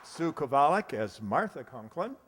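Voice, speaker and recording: A man's voice: fairly high pitch, very animated, normal speed, a crowd in the background. An American man working as a stage announcer, formally presenting a cast with the odd stumble and self-correction. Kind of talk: live curtain-call cast introductions. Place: live stage performance in a hall. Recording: echoey hall, audience noise, clicks.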